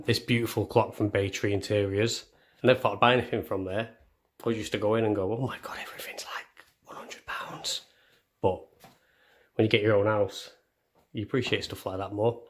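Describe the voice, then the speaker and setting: A young man giving a casual house tour, chatty and self-deprecating, as he films on a handheld phone. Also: low-pitched. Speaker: vlogger. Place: indoors, walking through rooms of a house. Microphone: handheld phone camera.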